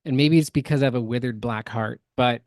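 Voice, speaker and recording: A man co-hosting a podcast, giving casual critique in a hesitant, measured way. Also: mid-range voice, a little mellow, dry recording, studio quality. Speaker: podcast co-host.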